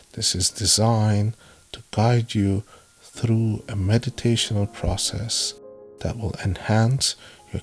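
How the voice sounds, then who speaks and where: A man narrating a guided meditation in a measured, instructive way, with frequent pauses between phrases. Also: lowish voice. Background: background music.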